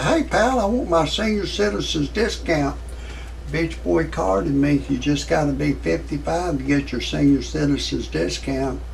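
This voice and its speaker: Male, 60s, gravelly and slightly high-pitched, folksy rambling commentator, noisy home recording. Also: darkish tone, distant mic. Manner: folksy, repetitive, halting delivery. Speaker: amateur online video commentator. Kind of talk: rambling off-the-cuff commentary with jokes.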